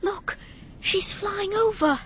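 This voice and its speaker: High, innocent little boy. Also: slow pace, highs cut off, a little hiss or hum, exaggerated voice. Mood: wonder. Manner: wondering, gentle, childlike. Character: small, innocent, curious young boy. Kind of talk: audio drama acting.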